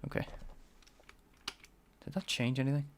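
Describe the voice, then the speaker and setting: A man's voice: mid-range voice, flat delivery, normal speed, a little hiss or hum. A man with a light Scouse accent, live-streaming game programming and chatting with viewers while he works. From England. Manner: thinks aloud, answers chat, enunciates carefully. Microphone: desk microphone.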